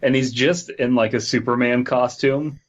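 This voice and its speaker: A man co-hosting a comedy podcast, riffing in a deadpan, casual way and reading stories aloud. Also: medium pitch, moderate pace, highs cut off. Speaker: podcast host.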